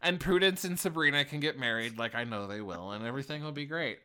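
A man's voice: medium pitch, quick pace, smooth. A man in his late twenties in casual, rambling chat, often breaking off and restarting. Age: 20s. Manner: rambling, animated, self-interrupting.

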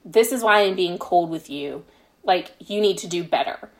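A woman's voice: on the low side, moderate pace, low-quality recording. A woman being interviewed as a guest author, talking casually and explaining things in a tentative, thinking-aloud way. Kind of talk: casual podcast interview chat.

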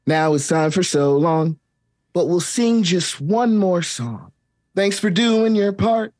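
A man's voice: sits high, animated, takes it slowly, darkish tone, no room sound, clean studio sound. An actor playing an upbeat young male kids' TV host in a comedic table read, singsong and talking straight to the viewer. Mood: cheery.

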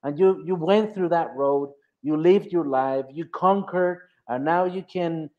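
A man hosting an interview opens by encouraging his guest to share where he came from. His voice is slightly high, and the recording is muffled and lo-fi. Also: a little slow. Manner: encouraging, repeats words. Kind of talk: interview introduction. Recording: muffled lo-fi audio.

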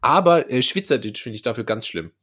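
A man's voice: mid-range voice, expressive, fairly quick, fairly bright tone. A young man from the Palatinate with a Palatinate accent, chatting loosely and jokingly as a podcast co-host. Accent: Palatinate German. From Palatinate, Germany. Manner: loose, joking, trails off and restarts. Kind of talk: casual banter. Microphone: laptop setup.

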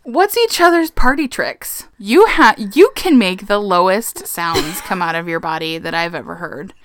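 A woman's voice: mid-range voice, expressive, measured pace, fairly bright tone, dry recording. A young adult woman hosting a casual podcast chat, laughing often and swearing casually, playfully blunt. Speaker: podcast host. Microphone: podcast microphone.

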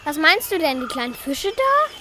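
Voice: high voice, sing-song, moderate pace, background noise. A child playing an eager, naive young adventurer in a school radio play, speaking the script earnestly. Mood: curious.

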